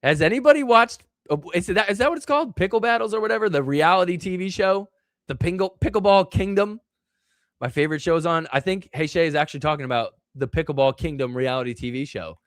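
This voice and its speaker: A man's voice: high-pitched, fast talker, no room sound, studio quality. Chatty man hosting a casual live stream. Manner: rambling, filler-heavy, reads chat aloud. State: recovering from illness and voice loss. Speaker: host of a live stream show.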